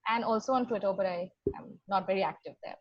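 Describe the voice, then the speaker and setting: A woman's voice: mid-range voice, fast talker, a little hiss or hum. A woman chatting informally as a podcast guest, on a slightly dull recording. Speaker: guest, fiction podcast creator. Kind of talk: casual animated chat.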